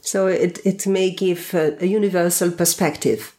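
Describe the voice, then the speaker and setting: A woman hosting a podcast, reading a scripted introduction and then interviewing a guest in a measured, deliberate way. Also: fairly low pitch, fairly even intonation, warm tone. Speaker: podcast host.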